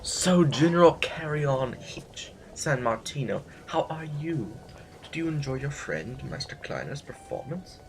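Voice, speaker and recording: A man playing a smug, haughty aristocrat in an audio drama, speaking formally with hesitant, deliberate pauses. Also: mid-range voice, lively intonation, noisy background, natural voice. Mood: probing, smug. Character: smug, haughty male aristocrat needling a rival general.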